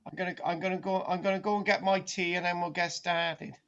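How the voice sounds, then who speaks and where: A woman's voice: on the low side, steady tone, fast, fairly bright tone, muffled recording. A woman hosting a casual group chat. She teases the others and often restarts her sentences. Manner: stammering restarts, teasing, chatty.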